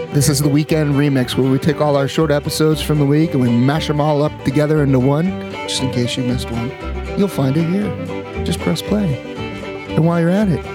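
A man hosting a podcast, talking casually and directly to listeners. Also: fairly high pitch. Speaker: podcast host. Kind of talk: casual podcast intro and chat.

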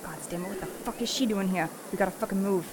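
A woman's voice: mid-range voice, fast talker, natural voice. Woman playing a brusque, foul-mouthed rogue in reverberant noise. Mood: urgent, angry. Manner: blunt, urgent, swearing. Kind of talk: audio drama acting.

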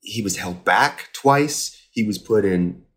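A man's voice: on the low side, animated, slow, a bit bright. An American man from Indiana, a podcast guest, telling personal stories casually and reflectively, often interrupting himself.

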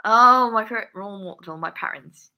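A woman's voice: mid-range voice, fairly quick, lo-fi sound. A woman answering interview questions earnestly on a podcast, often breaking into laughter between phrases. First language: English. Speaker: guest, workplace diversity consultant.

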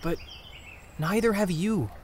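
A man's voice: high-pitched, slow pace, darkish tone, a little hiss or hum. A man playing a sceptical, sardonic teenage boy in a naturalistic audio drama, cautious and questioning. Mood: exasperated.

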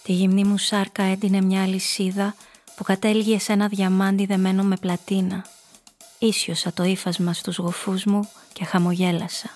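A cool, seductive femme fatale, played by a woman telling her story in a radio play. She is controlled and intimate, with little rise and fall in a mid-pitched voice, over faint music. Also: flat delivery, darkish tone, natural voice. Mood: self-satisfied, seductive. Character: seductive, cool, calculating woman narrating her own hunt. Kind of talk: first-person radio drama narration.

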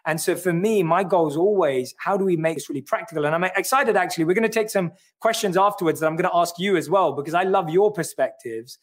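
A man's voice: high voice, quick pace, hardly any pauses. A man from London with a London accent, an author explaining his ideas in an earnest, reflective way during a livestream conversation. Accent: London English. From London, England. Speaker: author and guest.

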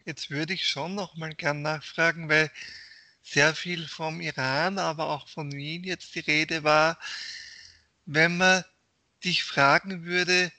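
A male interviewer who asks probing questions in a measured, formal way, building each question slowly. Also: a little high, fairly even intonation, bright, forceful tone, no echo.

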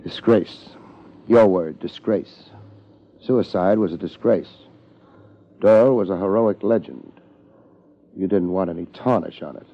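Terse American private eye, muffled radio. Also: male voice, deep voice, a little slow, long pauses. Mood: wry. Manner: terse, hard-boiled. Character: tough, unflappable male private investigator narrating his case. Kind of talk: 1950s American radio detective drama, narration and dialogue. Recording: muffled, noisy old radio recording.

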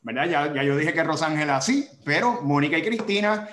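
Bright-voiced man hosting, roomy sound. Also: on the high side, lively intonation, brisk. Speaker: host interviewer. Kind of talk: casual conversational interview.

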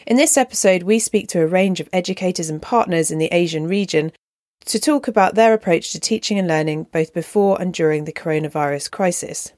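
A woman hosting a podcast, reading a measured, prepared introduction. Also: lowish voice, a little mellow, no echo, clean studio sound. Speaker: podcast host.

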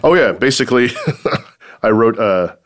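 A comedy writer chatting casually on a podcast, rambling and laughing often between phrases. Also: male voice, on the low side, animated, unhurried. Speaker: comedy writer and performer guest.

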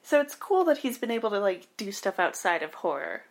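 A woman's voice: medium pitch, very animated, fairly quick. A woman co-hosting a casual film podcast, eager and reactive. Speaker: podcast co-host.

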